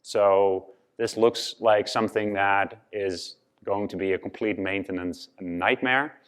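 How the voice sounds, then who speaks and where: A man's voice: lowish voice, fairly even intonation, slow pace, fairly bright tone, clean tone. A man from the Netherlands with a Dutch accent, giving a conference presentation with many fillers and restarts. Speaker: conference speaker.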